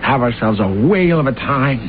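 An actor playing a scheming, boastful lawyer husband in a radio drama, smooth-talking and theatrical. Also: male voice, mid-range voice, lively intonation, brisk, lightly acted. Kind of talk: 1970s radio drama acting. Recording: tinny hissy old radio broadcast.

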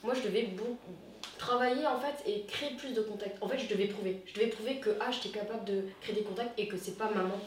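A woman whose first language is Vietnamese, speaking softly and reflectively about her studies. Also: mid-range voice, fast talker, a little hiss or hum. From France, Toulouse suburbs. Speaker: former film school student giving testimony. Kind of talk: casual conversational testimony. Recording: very echoey room, distant mic.

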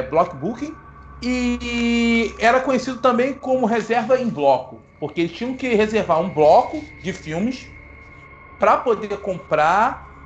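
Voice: mid-range voice, a little hiss or hum. A host, explaining film history in a casual, playful way to the audience. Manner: playful, self-mocking asides.

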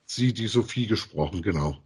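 A man's voice: lowish voice, animated, normal speed, darkish tone, breathy edge. A man, chatting casually as a podcast co-host about audio dramas.

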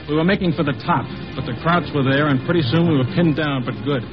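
A man's voice: a little high, quick pace, natural voice. A man acting a plain-spoken army lieutenant from Texas, narrating his war story in a 1950s radio drama. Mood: grim, reflective. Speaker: narrator and lead actor. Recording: dull old radio recording with music.

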